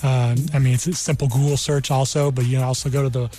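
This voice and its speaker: A man's voice: mid-range voice, level tone, fast, mellow. A man from New Mexico speaking as an army career counselor, explaining things earnestly in an informal radio interview. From New Mexico, United States. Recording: music behind the voice. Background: music.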